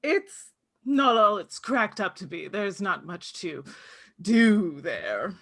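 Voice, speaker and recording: A woman's voice: medium pitch, sing-song, slow, fairly bright tone, heavily acted. A woman playing a gleeful, hungry villainous witch in a theatrical, taunting manner for a script table read. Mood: mocking.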